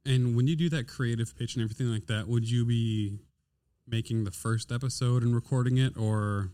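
A man with a naturally deep, smooth voice chats casually on a podcast, drifting through stories with plenty of fillers. The studio mic sounds close and dry, with no room around it. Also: fairly even intonation, a little fast, warm tone, dry recording. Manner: easygoing, rambling with fillers. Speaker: podcast co-host. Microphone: podcast studio microphone.

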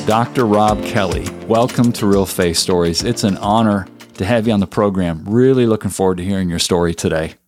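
A male podcast host who reads a scripted intro and asks polite, measured questions. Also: lowish voice, music in the background.

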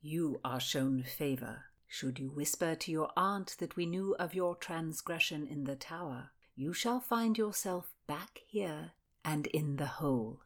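A menacing noblewoman speaks low, slow and icy, as a woman plays her. Her threats come soft and controlled. It is a period audiobook reading. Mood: coldly menacing. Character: cold, menacing noblewoman interrogator.

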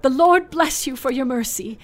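A man voicing a shrewd, pleading widowed village woman in a storybook retelling, with a soft, breathy voice. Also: very high-pitched, normal speed, voice pushed up. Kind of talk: storybook narration.

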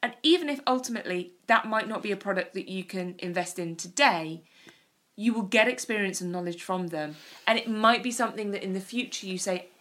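A woman's voice: mid-range voice, fairly quick, fairly bright tone. A woman co-hosting a casual chat, talking with eager emphasis about design. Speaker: podcast co-host.

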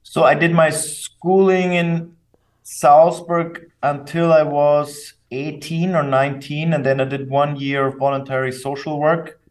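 A young adult man from Austria, bilingual in English and German, answering interview questions in a thoughtful, measured way with fillers and restarts. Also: on the high side, level tone, recorded from a distance. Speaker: company co-founder, guest.

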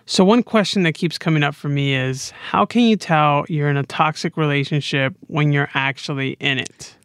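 Clear, higher-pitched American man. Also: normal speed, a bit bright, no room sound, clean studio sound. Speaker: podcast co-host. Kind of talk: conversational podcast hosting.

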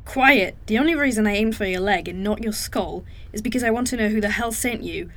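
An actress playing a tough, cool, protective female fighter in an audio drama, deadpan and commanding. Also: mid-range voice, a little fast, a little hiss or hum, close to an everyday voice. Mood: threatening.

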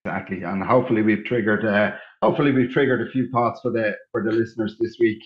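A man's voice: fairly low pitch, steady tone, fast, lo-fi sound. A man co-hosting a podcast in casual chat, reflective and agreeable, with long rambling sentences. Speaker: podcast co-host.

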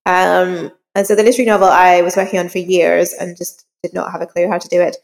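Woman author with a creaky, lowish voice. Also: little pitch movement, quick pace, a little mellow, big-room sound. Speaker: guest author. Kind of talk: conversational interview.

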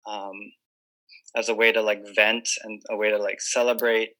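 A man's voice: on the low side, little pitch movement, moderate pace, pushed and bright, poor audio. A man in his twenties from Hawaii, a podcast guest on a video call, talking earnestly and reflectively with many fillers. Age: 20s. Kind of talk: casual interview chat.

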